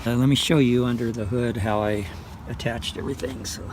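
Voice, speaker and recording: An older man giving a casual, unscripted how-to walkthrough, unhurried and a bit hesitant as he explains. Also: mid-range voice, steady tone. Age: older, a grandfather. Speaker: vlog host showing a DIY install. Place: outdoors in a parking lot, around a truck. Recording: clear car and ambient noise.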